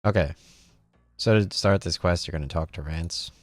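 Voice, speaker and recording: Male gaming host, notably low register, loose think-aloud commentary, dry recording with soft background music. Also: moderate pace, no echo. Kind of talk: casual gameplay commentary.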